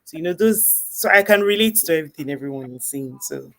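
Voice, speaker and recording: A woman's voice: low-pitched, lively intonation, fast. A woman, speaking modestly on a panel and laughing a little nervously. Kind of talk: casual panel discussion.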